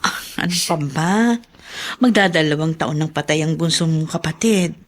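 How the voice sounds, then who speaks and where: An actress plays a middle-aged mother in a radio drama. Her voice sits a little low, and she speaks in a motherly, consoling, reassuring way. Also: steady tone, moderate pace, natural voice. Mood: concerned.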